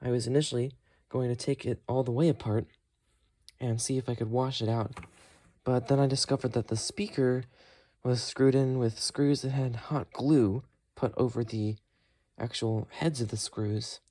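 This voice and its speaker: A man's voice: medium pitch, steady tone, moderate pace, mellow. A man hosting a casual repair tutorial, thinking aloud as he works through each step. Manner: thinks aloud while working. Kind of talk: casual hands-on walkthrough.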